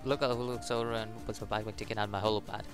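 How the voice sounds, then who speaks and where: Young man reading game text haltingly. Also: fairly low pitch, steady tone, music in the background. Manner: halting reading, stumbles over words. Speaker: gaming YouTuber. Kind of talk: casual let's play, reading game text aloud with commentary.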